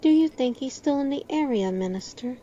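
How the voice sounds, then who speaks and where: A woman's voice: high-pitched, expressive, fairly quick, dark tone. A woman playing a chatty, friendly barmaid who runs a pub, in an audio drama. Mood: gossipy. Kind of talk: amateur audio drama acting. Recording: dull lo-fi sound, faint noise.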